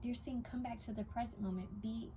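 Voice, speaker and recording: A young woman giving a casual pick-a-card tarot reading to her viewers, her voice a little raspy from many readings, now and then dropping to a whisper as she reads the cards. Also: on the high side, fairly even intonation, fairly quick, warm tone, a little hiss or hum. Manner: intuitive, addresses viewers directly, drops to whispers while reading cards.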